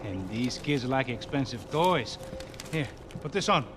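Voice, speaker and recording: An actor playing an easygoing, streetwise bodyguard partner in a game cutscene, shrugging off danger. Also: male voice, medium pitch, lively intonation, unhurried, background noise, natural voice. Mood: casual. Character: laid-back male bodyguard partner, streetwise. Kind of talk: video game cutscene acting. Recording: loud game score behind.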